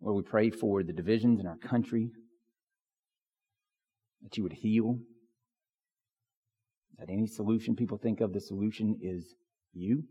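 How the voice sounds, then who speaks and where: A man preaching as a pastor, with a hoarse voice and lots of fillers and restarts. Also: fairly low pitch, moderate pace, long pauses, warm tone, lo-fi sound. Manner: filler-heavy, restarts often. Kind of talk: prayer and sermon. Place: church sanctuary. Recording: long church reverb.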